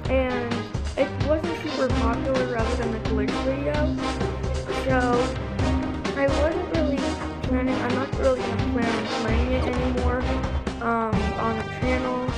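A young woman hosting a gaming channel, rambling through a casual voice-over with many ums and restarts. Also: high-pitched. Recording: loud game music behind. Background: loud music.

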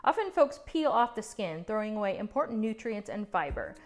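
A woman narrates a cooking lesson, walking through each step in a calm, scripted voice-over. Her pitch sits in the middle, and light music plays quietly beneath her. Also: brisk. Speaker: cooking instructor. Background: soft background music.

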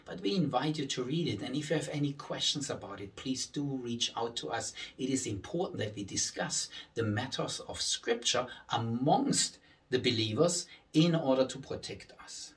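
Middle aged guy, church pastor, medium pitch, serious measured delivery, sharing a message. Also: lively intonation. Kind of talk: earnest church message and announcements.

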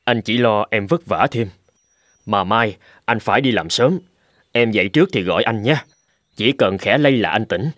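A man voicing a poor, hard-working, gentle father in a folk-tale cartoon. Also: fairly low pitch, moderate pace, no room sound, studio quality, natural voice. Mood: caring. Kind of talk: cartoon dubbing.

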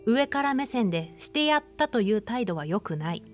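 A woman playing a strict but caring primary school teacher in a voice drama, firm and measured. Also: on the high side, very animated, soft background music. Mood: stern. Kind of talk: voice drama acting.

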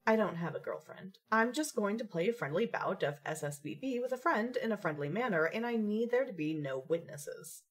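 Composed young woman played by an actress, precise, articulate, dry wit, animated mid-range delivery for audio fiction. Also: medium pitch, a little fast, hardly any pauses, close to an everyday voice. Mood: dry, exasperated. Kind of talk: fan fiction podcast acting.

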